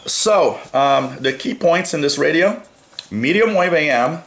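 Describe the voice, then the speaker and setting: A man giving a casual gadget review, explaining things in a hesitant way with frequent pauses. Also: a little high, lively intonation, fairly bright tone. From North America. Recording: roomy, echoey sound.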